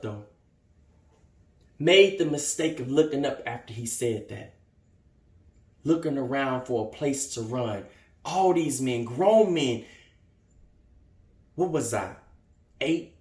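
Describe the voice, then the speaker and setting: High-pitched, wounded young man, acted out by a man. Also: far from the mic. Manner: theatrical, emphatic, swinging from outburst to hushed hurt. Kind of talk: dramatic stage monologue. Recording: echoey room.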